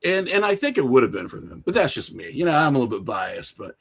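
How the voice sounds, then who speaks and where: A man's voice: mid-range voice, big pitch swings, quick pace, vocal fry, a bit bright, husky. A man talking informally as an interview guest, telling anecdotes in a self-deprecating, conversational way. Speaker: podcast guest, indie filmmaker.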